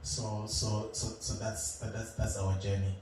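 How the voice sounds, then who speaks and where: Low-voiced man, distant and echoey. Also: unhurried, crowd noise. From Zimbabwe. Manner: relaxed, hesitant, many fillers and laughs. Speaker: musician guest. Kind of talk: casual interview chat. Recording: roomy, distant, noisy room.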